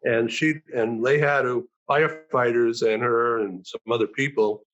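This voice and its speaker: A man hosting a talk show over a video call, thanking guests and announcing upcoming shows, talking haltingly with many fillers. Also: mid-range voice, fairly even intonation, a bit bright, highs cut off.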